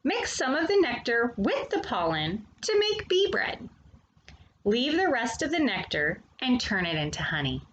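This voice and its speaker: Female storytime host, higher-pitched and animated, speaking straight to young kids, some background noise. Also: lo-fi sound. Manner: addresses young listeners directly, with questions and pauses. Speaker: host of a children's storytime, reading a picture book aloud. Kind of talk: read-aloud children's nonfiction story with brief asides.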